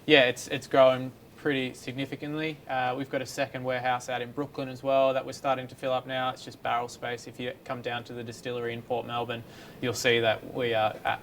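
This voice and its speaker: Flat-voiced relaxed distillery guy. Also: mid-range voice, fairly quick, a little hiss or hum. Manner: relaxed, repeats words, many "yeah"s. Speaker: distillery guest. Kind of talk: casual interview answers.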